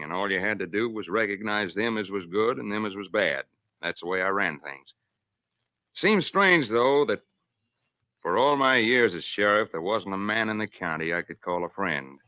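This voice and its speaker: An actor playing a stern, friendless veteran western sheriff in an old radio drama, with folksy, ungrammatical speech. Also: male voice, lowish voice, expressive, moderate pace, dry recording, close to an everyday voice. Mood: reflective. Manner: folksy, plainspoken, ungrammatical drawl. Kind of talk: 1940s radio drama acting, first-person narration. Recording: dull, lo-fi old radio recording.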